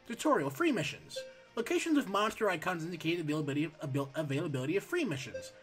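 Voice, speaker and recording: A man hosting a let's play, reading game text aloud and chatting casually while his voice is going hoarse. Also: fairly high pitch, quick pace, faint music behind. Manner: reads on-screen text aloud, relaxed asides. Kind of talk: casual gameplay commentary.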